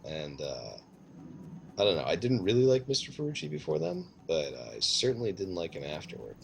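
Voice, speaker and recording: A man with a low voice tells a casual anecdote as a streamer would in conversation. His delivery rambles and hesitates, with pauses to find words. Clear background noise sits behind him. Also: huge pitch swings. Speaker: streamer telling personal stories.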